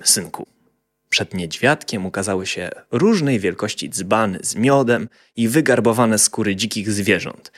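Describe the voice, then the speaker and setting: Animated low-voiced male storyteller. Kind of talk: scripted children's story narration.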